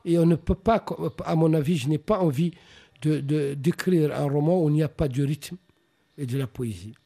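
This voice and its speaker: A Mauritanian novelist, whose first language is Arabic, answers interview questions in a thoughtful way, often pausing and restarting his sentences. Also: fairly high pitch, warm tone. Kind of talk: reflective radio interview answers.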